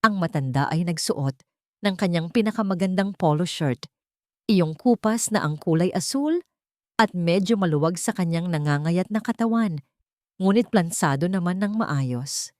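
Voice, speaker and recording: A woman's voice: on the low side, lively intonation, moderate pace, no echo, studio quality. A woman reading a dramatic scripted story as an audiobook narrator.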